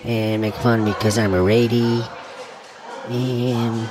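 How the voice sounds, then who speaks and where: A man playing a brash middle-aged woman politician who rambles in broken, halting English in a mock interview sketch. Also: medium pitch, little pitch movement, background noise, lightly acted. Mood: aggrieved.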